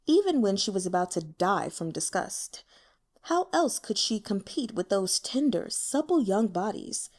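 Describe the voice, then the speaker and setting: A woman's voice: mid-range voice, a little mellow. A woman reading fiction aloud as an audiobook narrator, in a dramatic storytelling style.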